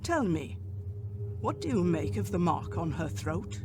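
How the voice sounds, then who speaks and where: A man's voice: high voice, very animated, measured pace. A man playing a grave, commanding older professor and doctor in a gothic radio drama, speaking formally and solemnly. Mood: earnest, firm. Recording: clearly noisy background.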